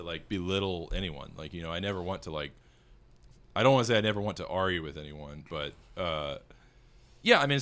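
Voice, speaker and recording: A man in his thirties with a big, very low voice that still carries a bright, forceful edge. He talks in a casual conversational way, rambling through his thoughts, halting and restarting mid-sentence as he works out what he means. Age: 30s. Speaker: filmmaker guest.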